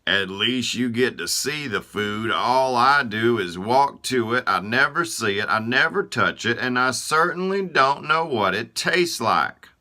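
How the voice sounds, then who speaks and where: A man's voice: medium pitch, animated, measured pace, few pauses, voice pushed up. A man reading a children's storybook, voicing complaining body parts in a raised, whiny voice. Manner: whiny, raised voice, louder than the narration.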